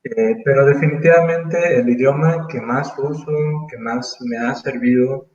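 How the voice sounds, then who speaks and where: A man's voice: mid-range voice, measured pace, a little mellow, muffled recording. Hesitant man on a roomy call. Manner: thoughtful, hesitant, many fillers and restarts. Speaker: interview guest. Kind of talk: casual conversational interview.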